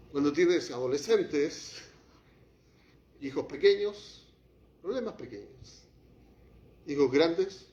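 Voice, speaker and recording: A man preaching a sermon as pastor, shifting from quiet, low passages to raised, heated lines. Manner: impassioned preaching, drops to quiet, then rises to acted outbursts. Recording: echoey hall, muffled lo-fi sound.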